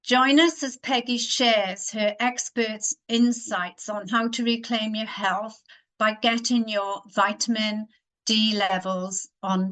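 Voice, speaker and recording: A woman's voice: on the high side, a bit bright. A woman hosting a wellness interview, introducing her guest in a careful, welcoming way. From Australia. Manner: slow, careful, welcoming delivery. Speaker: podcast host.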